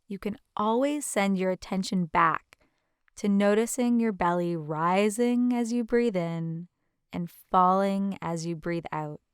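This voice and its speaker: A woman leading a guided meditation as instructor, with a gentle, soothing, unhurried manner. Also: mid-range voice, clean tone, dry recording, studio quality.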